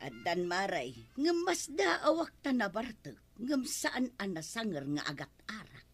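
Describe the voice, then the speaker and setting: An actress with an Ilocano Filipino accent playing a nagging, suspicious village wife in a radio comedy drama. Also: female voice, medium pitch, very animated, measured pace, slightly rough, faint music behind. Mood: puzzled, suspicious.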